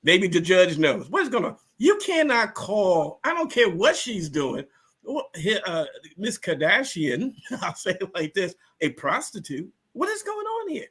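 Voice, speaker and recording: A man talking casually and with strong opinions as a panel guest, animated and emphatic, often repeating himself. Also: high-pitched, a little slow, slightly rough.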